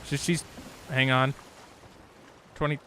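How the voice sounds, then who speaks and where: A man's voice: mid-range voice, fairly even intonation, takes it slowly, pushed and bright, a little hiss or hum. A man in his late twenties chatting casually while gaming with friends, swearing freely as he goes. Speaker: game streamer.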